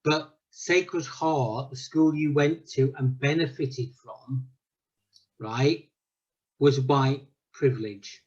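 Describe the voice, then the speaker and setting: A man, a former ethics teacher, making measured, probing points in a casual debate. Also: medium pitch, darkish tone. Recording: dull lo-fi sound.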